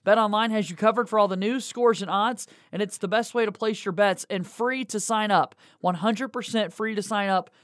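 A man's voice: sits high, moderate pace, hardly any pauses, a bit bright, no echo, studio quality. A man hosting a sports podcast, moving between introductions, sponsor reads and interview questions. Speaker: podcast host.

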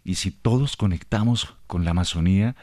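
A man's voice: low voice, moderate pace, dry recording. A man from Bogotá, Colombia, with a Bogotá accent, hosting a radio interview and encouraging his guest with frequent short agreements. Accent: Bogotá Colombian Spanish. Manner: affirming, warm sign-off, frequent "ujú". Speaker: radio host.

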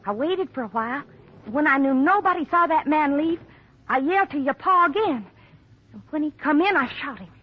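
High-pitched desperate American ranch woman. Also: animated, normal speed. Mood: tense confession. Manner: intense, pleading, confessional. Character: desperate, lovesick murderous young ranch woman. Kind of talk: 1950s radio drama acting. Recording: dull, noisy vintage radio recording.

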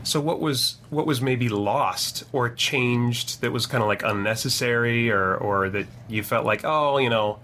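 A man's voice: medium pitch, unhurried, few pauses, bright, forceful tone, a little hiss or hum. A man hosting a podcast, interviewing casually with informal, rambling phrasing.

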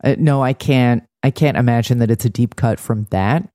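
A woman's voice: extremely low pitch, moderate pace, dark tone, no room sound. A woman co-hosting a podcast in a casual TV recap chat, speaking in a dry, sardonic, blunt way. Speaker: podcast co-host.

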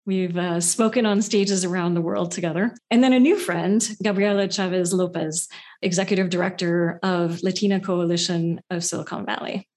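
A woman hosting a podcast, reading a scripted intro and then leading a live panel in a measured, deliberate way. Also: fairly low pitch, fairly even intonation, darkish tone. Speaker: podcast host.

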